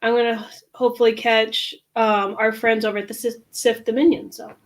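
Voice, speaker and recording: A woman co-hosting a podcast, talking casually with many pauses and fillers. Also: a little high, flat delivery. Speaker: podcast co-host. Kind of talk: casual chat.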